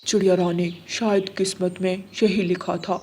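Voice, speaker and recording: A woman's voice: lowish voice, flat delivery, normal speed, mellow, slight background noise, voice pushed down, natural voice. A woman voicing a sympathetic pigeon in a children's cartoon, speaking in a consoling way. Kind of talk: Hindi children's cartoon voice acting.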